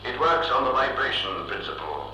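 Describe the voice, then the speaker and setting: An actor playing a cold, imperious giant computer in a vintage science-fiction radio drama, speaking in a formal, emotionless, deliberate way. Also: male voice, sits low, big pitch swings, bright, forceful tone, a little hiss or hum, full character voice. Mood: clinical. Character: cold, imperious giant thinking machine ruling Mars. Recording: echoing old reel-to-reel radio recording.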